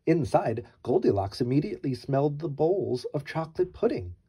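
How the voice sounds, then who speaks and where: Man, storyteller, very animated, mellow and slightly husky, wry theatrical delivery. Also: a little high, moderate pace. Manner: wry, theatrical mock innocence. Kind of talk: read-aloud children's storytelling.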